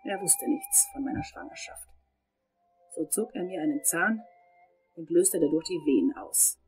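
An actress playing a vengeful, unhinged dental assistant turned killer in a German crime radio drama, with dramatic pauses. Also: female voice, fairly low pitch, huge pitch swings, darkish tone, slightly rough, soft background music, full character voice. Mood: bitter, wounded. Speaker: voice actor.

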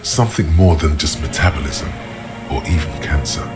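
An actor playing the brooding inner voice of a detective in a video game, narrating in a measured, ominous, gravelly way. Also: male voice, very deep voice, animated. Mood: observant. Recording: loud music behind the voice. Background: music.

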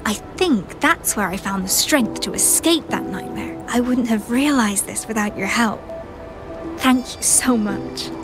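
An earnest young female team leader from an anime-style game, played by a woman. Her voice is fairly high, gentle and sincere, set over clear background music. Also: a little slow, lightly acted. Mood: relieved, grateful.

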